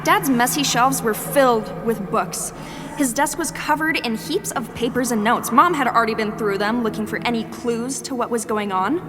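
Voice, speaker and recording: A woman's voice: on the high side, moderate pace, background noise, lightly acted. A woman narrating a scripted family adventure story in a wry, knowing manner. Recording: long reverb, music bed.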